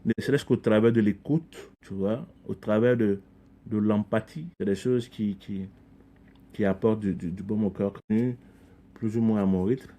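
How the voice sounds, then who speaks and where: A middle-aged man from Ivory Coast with a low, mellow voice talks through his experiences as a podcast guest. He speaks earnestly and thoughtfully, often repeating words and restarting phrases as he searches for the next thought. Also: moderate pace. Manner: earnest, reflective, frequent repetitions and restarts. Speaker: podcast guest, community leader. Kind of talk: conversational interview.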